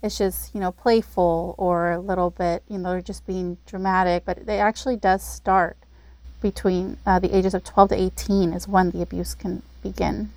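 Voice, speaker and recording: A woman's voice: fairly low pitch, little pitch movement, moderate pace, darkish tone, no echo, poor audio. A woman who is a community services director, explaining steadily in an interview with the occasional filler. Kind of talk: informational interview.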